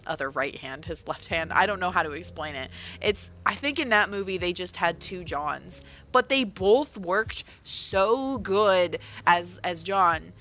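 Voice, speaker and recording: A woman's voice: on the low side, a bit bright. A woman chatting casually on a livestream, rambling along with lots of fillers and correcting herself as she goes. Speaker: author and livestreamer.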